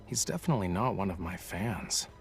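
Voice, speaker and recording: A man's voice: low voice, animated, a little fast, creaky, faint music behind. An actor voicing a cool, unruffled young male artist in a game, with dry, drawling sarcasm. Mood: cool, teasing. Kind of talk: English game voice acting.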